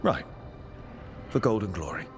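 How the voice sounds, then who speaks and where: A calm, curious adventurer. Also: male voice, on the low side, music in the background. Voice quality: calm, inquisitive, measured. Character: curious adventurer leader, measured and probing. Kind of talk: video game cutscene dialogue.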